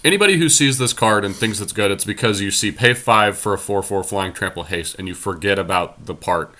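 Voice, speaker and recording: A man's voice: on the low side, a little fast, bright, forceful tone. A man hosting a podcast, casually talking through card choices for a game and thinking aloud as he goes. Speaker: podcast host. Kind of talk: casual card game commentary while deckbuilding.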